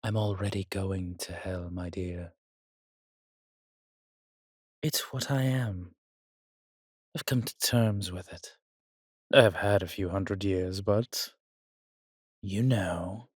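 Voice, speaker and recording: Man voicing a husky, courtly vampire seducer. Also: deep voice, takes it slowly, long pauses, dry recording, clean studio sound, full character voice. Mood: resigned. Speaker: voice actor in an audio drama. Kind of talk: intimate romantic audio roleplay addressed to the listener.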